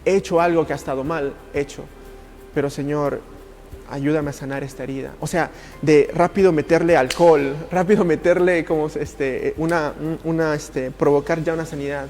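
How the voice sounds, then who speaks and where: A man from Italy speaking as a ministry coach in a podcast interview, earnest and passionate, with halting, repetitive phrasing. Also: a little high, fairly even intonation, darkish tone, soft background music.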